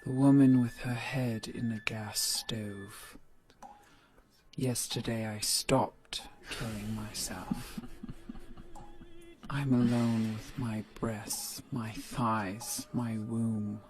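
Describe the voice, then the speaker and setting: A woman voices a haunted, defiant young woman in an audio play, speaking in hushed, often whispered tones. The delivery is very slow and deliberate. Clear music runs beneath her. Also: a bass voice, fairly even intonation, exaggerated voice. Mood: tormented, defiant.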